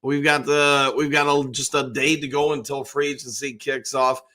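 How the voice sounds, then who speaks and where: An older man hosts a live stream from home in a hoarse, worn voice. He talks in a loose, folksy ramble, full of "uh" and restarts, chatting easily with his viewers. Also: mid-range voice, flat delivery, normal speed, bright, forceful tone. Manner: rambling, folksy, lots of fillers. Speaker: host. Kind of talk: casual live-stream chat.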